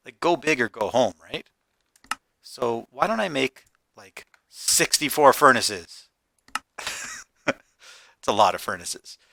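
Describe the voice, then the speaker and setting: Hoarse, chatty man narrating a game. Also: medium pitch, pushed and bright, no room sound. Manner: chatty, thinking aloud while crafting. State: hoarse, getting over a cold. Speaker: gaming channel host. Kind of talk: casual Let's Play narration.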